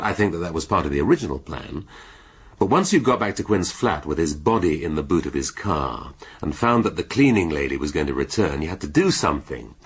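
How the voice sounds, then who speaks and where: An actor playing a shrewd, sardonic middle-aged British police inspector in a radio drama, speaking deliberately and with relish. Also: male voice, a bass voice, husky, heavily acted. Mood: smug, deliberate. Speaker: police detective.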